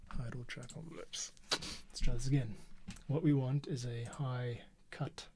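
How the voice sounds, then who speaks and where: A podcast host thinking aloud and talking himself through editing an episode, often muttering quietly. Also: male voice, mid-range voice, steady tone, a little slow, a crowd in the background. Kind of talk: casual talk-through while editing audio.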